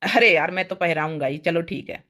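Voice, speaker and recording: A woman voicing a flattering, pushy young male schoolteacher in a cartoon comedy, coaxing his lover. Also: fairly low pitch, brisk. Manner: coaxing, smooth-talking.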